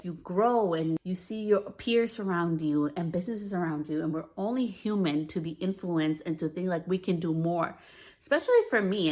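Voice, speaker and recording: A woman in her thirties with a deeper natural voice, hosting a podcast in a frank, candid monologue that swears freely. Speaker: podcast host.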